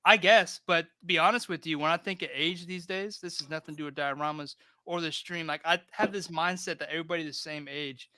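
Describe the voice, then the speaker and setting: Guy in his thirties, kinda high voice, chill rambly livestream talk. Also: fairly even intonation, fairly quick, hardly any pauses, pushed and bright, clean tone, no room sound, studio quality. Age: 30s. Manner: laid-back, rambling, reads chat aloud. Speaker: host of a diorama livestream. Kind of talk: casual livestream chat.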